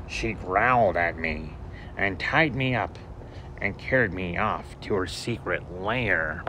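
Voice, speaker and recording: A man reading a picture book aloud to children in an animated, theatrical way with deliberate phrasing, as a storyteller. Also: sits low, low-quality recording. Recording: steady background noise.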